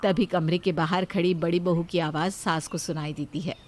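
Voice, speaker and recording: A woman's voice: on the low side, steady tone, moderate pace, darkish tone, faint music behind. A woman narrating a scripted moral story as its storyteller.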